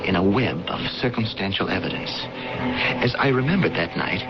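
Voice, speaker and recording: An actor playing a bitter, wry young prisoner of ancient Rome in a theatrical radio drama, part narration and part dialogue. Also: male voice, sits low. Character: condemned young Greek man, bitter, wry Roman prisoner. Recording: dull lo-fi radio broadcast over loud music.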